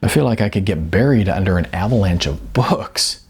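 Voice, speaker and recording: Man acting a hesitant young hopeful, gravelly. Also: low voice, lively intonation, full character voice. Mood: amused, teasing. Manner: hesitant, with stammers and pauses. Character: naive, eager young male aspiring actor. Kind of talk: audiobook character dialogue.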